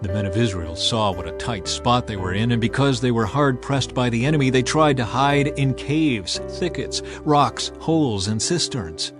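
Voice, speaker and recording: A man narrating scripture in a measured, grave storytelling manner. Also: mid-range voice, over music.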